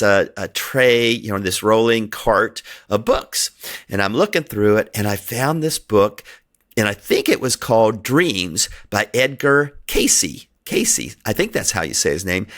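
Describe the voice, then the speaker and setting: A man's voice: fairly low pitch, a bit bright. A middle-aged man whose first language is Hungarian, talking in a rambling, chatty way on his own podcast and laughing often. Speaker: podcast host. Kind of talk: casual solo podcast monologue.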